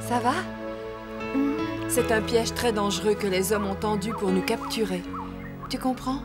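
A woman voicing a caring, protective motherly animal in an animated cartoon. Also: medium pitch, measured pace, natural voice. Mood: concerned. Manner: protective, cautioning. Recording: loud music behind.

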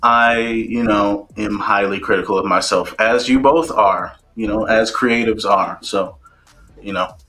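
A man's voice: fairly low pitch, unhurried, sharp tone, poor audio. A young man, a guest photographer on a video call, chatting casually and laughing often as he teases his hosts. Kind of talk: casual interview chat. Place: home room, video call.

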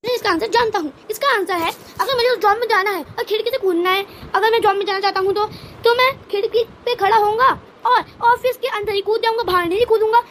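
A woman's voice: very high-pitched, fast, a bit bright, slight background noise. A woman voicing a confident male contestant who solves riddles in a cartoon quiz. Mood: confident, eager. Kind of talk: scripted cartoon quiz answers.

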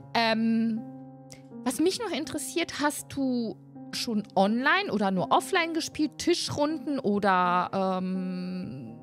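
A middle-aged woman hosting a casual chat about tabletop role-playing, speaking into a close desk microphone. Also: mid-range voice. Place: indoor room, on a video call. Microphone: close desk microphone with headset. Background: background music.

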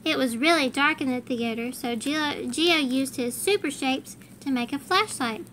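Female reader for children's picture book, bright and high, animated, encouraging a young listener. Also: normal speed. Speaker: parent reading a picture book aloud to a child. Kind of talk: read-aloud children's storybook narration. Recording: faint background noise.

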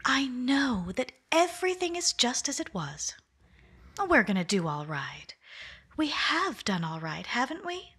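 A woman's voice: sits high, big pitch swings, measured pace, sharp tone, breathy edge, full character voice. Woman playing a scheming, silky wife. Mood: silky coaxing. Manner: purring, calls him darling. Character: cool scheming wife, smooth, coaxing. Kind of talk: 1940s radio acting.

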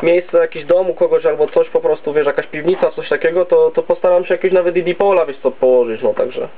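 A man's voice: a little high, fast, slight background noise. A man chatting in a rambling, casual way as an amateur radio operator, heard through narrow CB radio audio. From Poland. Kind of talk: casual radio contact chat. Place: home base station.

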